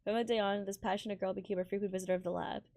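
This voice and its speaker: A woman streaming a visual novel, reading it aloud in a halting, giggly way with stumbles over words. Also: medium pitch, steady tone, darkish tone, no echo. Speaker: game streamer.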